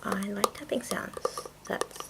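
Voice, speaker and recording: A woman chatting casually in a soft, mostly whispered ASMR style, rambling along, with tapping and clicking from small containers. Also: takes it slowly. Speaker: video creator and host. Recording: noticeable noise, clicking sounds. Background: tapping and clicking of makeup containers.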